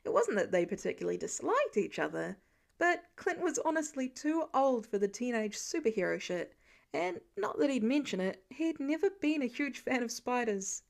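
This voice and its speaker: A woman with a high-pitched voice narrates a piece of fiction. She reads the scripted prose expressively, with a wry storytelling touch. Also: big pitch swings, moderate pace, gravelly. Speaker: amateur audiobook narrator.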